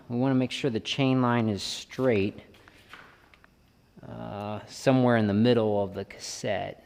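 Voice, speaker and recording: Guy doing a casual diy how-to, lowish voice, thinking out loud, echoey garage reverb. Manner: informal, thinking aloud while working. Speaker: bike vlogger and host. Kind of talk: casual hands-on tutorial narration. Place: indoor garage or shed workshop with plywood walls. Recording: reverberant workshop room sound.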